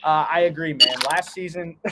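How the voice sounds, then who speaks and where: A man with a somewhat high voice hosts a live sports stream. He slips between game calls and loose, teasing chat with his co-host. There is some background noise on the recording. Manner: banters and teases his co-host.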